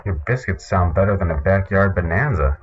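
A man hosting a comedic podcast in a casual, deadpan and hesitant way, on a muffled recording. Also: sits low, a little fast, slight background noise. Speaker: podcast host. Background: music.

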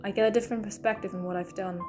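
Eccentric, chatty alien heroine played by a woman for a sci-fi audio play, medium pitch over clear music. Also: fairly even intonation, very fast, lightly acted. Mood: reflective. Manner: rambling, eccentric. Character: quirky, talkative, self-doubting alien time traveller woman. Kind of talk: fan audio drama acting.

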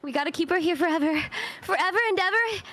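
A woman's voice: extremely high pitch, fast, a bit bright. A woman streamer chatting casually as a co-host, teasing and giggly, egging viewers on. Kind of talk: casual livestream chat. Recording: faint music behind the voice.

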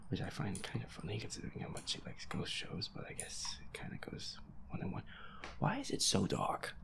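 A man muttering feisty, sweary commentary to himself as he plays a horror game, in a breathy voice. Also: lowish voice. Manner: feisty, grumbling, swears constantly. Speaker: gamer playing a horror game as audio roleplay character. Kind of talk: hushed gaming commentary, muttering to self.

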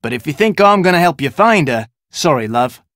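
Video game fugitive: Australian male, wary and brusque, slightly high voice, very dry recording. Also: very animated, a little fast, fairly bright tone, no echo. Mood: guarded, confiding. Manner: brusque, slangy, dismissive. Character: gruff, wary Australian fugitive hiding on an island. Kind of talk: video game character dialogue.

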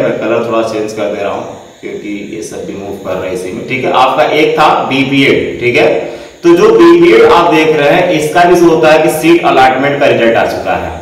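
A man's voice: medium pitch, far from the mic. Young man's tutorial voice in an echoey room. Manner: repetitive filler phrases, addresses viewers as students. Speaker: education channel host. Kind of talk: screen-recorded tutorial.

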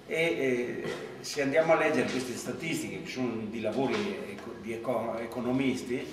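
A man in his fifties giving a reflective talk at a project presentation, pausing often with hesitant fillers. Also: medium pitch. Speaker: supervisor speaking at a project presentation. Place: large classroom with rows of chairs. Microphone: far camera. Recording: echoey room from far off, background noise.

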